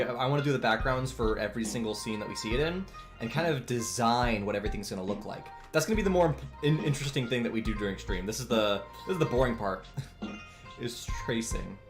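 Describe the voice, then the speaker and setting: A man in his twenties chatting casually on a live art stream, rambling with many fillers and restarts. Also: medium pitch. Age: 20s. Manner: rambling, frequent fillers and restarts, chuckles often. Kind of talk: casual live-stream chat while drawing. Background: faint background music.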